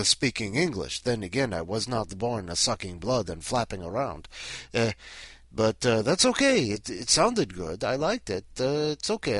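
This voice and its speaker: A man's voice: medium pitch, fairly bright tone, no room sound. A man playing a comic three-hundred-year-old Count Dracula with a mock Eastern European accent, hesitant and digressive as he hosts. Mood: bemused, rambling. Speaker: host of a weekly story challenge. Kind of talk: comic ad-libbed monologue.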